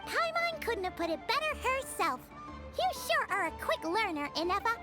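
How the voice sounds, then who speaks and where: Tiny cheerful fairy sidekick, very high squeaky voice, swooping intonation, video game, loud music behind. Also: female voice, very animated, normal speed. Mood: proud, playful. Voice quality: squeaky, childlike. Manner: refers to self in third person, exclamatory, chirpy. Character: small excitable childlike fairy companion and guide, cheerful, boastful. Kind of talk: video game character dialogue.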